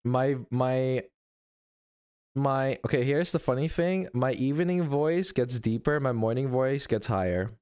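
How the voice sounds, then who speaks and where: A man's voice: mid-range voice, lively intonation, a little slow, darkish tone, smooth. Man, livestreamer, casual chat, rambling, laughs a lot. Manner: rambling, laughing, thanks chatters. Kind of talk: casual live-stream chat.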